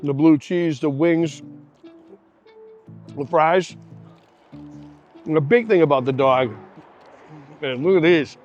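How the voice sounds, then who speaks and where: A man's voice: on the high side, slow pace, pauses often, dull audio, soft background music. A man with a Boston accent hosting a casual travel and food vlog, chatting in a rambling, enthusiastic way. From Boston area. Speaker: travel show host.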